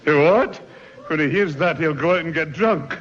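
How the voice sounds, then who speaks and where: A man's voice: fairly high pitch, normal speed, a bit bright, natural voice. An actor playing a grumpy, sardonic Northern English grandfather in a 1960s radio sitcom. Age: older. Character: sardonic beer-loving grandfather, grumpy old man. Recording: muffled noisy 1960s radio recording, echoey hall.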